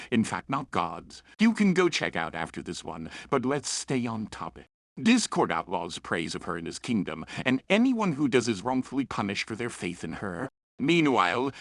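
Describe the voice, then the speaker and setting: A man's voice: fairly low pitch, creaky, fairly bright tone, no echo, clean studio sound. A man hosting a scripted review in a chatty, opinionated way, with playful, self-aware asides. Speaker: host and reviewer of fan fiction and audio dramas.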